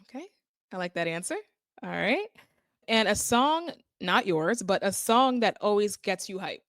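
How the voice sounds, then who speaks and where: A woman's voice: mid-range voice, expressive, a little slow, a bit bright, no room sound, clean studio sound. A woman with a Northeastern US accent interviewing a guest, bubbly and laughing often. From Connecticut. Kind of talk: upbeat casual interview.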